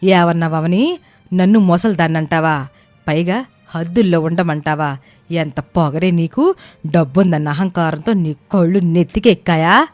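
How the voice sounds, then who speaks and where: A woman's voice: on the low side, normal speed, a little mellow, no echo, soft background music, close to an everyday voice. A woman voicing an indignant old village mother-in-law in a family story, chiding in a blunt, rustic way. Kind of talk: dramatized Telugu family story acting.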